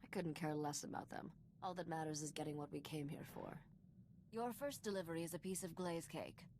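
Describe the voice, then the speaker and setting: Low-voiced, sardonic space rogue woman. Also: brisk, darkish tone, slight background noise. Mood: cynical. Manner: dry, knowing, self-possessed. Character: worldly sardonic young woman, shrewd smuggler's companion. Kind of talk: video game voice acting.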